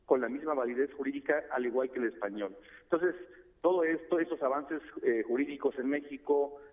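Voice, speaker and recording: A man from Chiapas whose first language is Tseltal, speaking as a guest expert by phone in a measured, formal way. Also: mid-range voice. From Chiapas, Mexico. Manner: measured, formal, addresses host by name. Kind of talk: radio phone interview. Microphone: telephone. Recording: tinny phone line.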